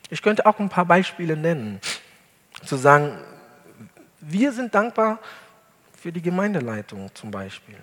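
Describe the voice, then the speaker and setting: A male pastor, not a native German speaker, preaching an earnest sermon in a church hall with deliberate emphasis. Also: a little high, very animated, a bit bright. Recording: long hall reverb.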